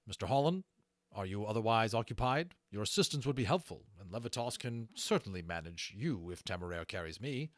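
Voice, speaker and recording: A man's voice: fairly low pitch, animated, a little fast, no room sound, clean studio sound, close to an everyday voice. A man playing a firm, courteous military captain giving polite orders in a storybook reading. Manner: formal, polite commands.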